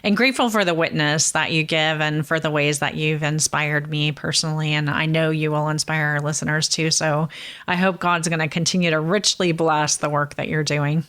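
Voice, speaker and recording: A woman's voice: low voice, fairly even intonation, moderate pace. A woman hosting a faith podcast, encouraging her guest and signing off upbeat. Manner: warm, encouraging, upbeat sign-off. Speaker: podcast host. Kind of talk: casual interview and show outro.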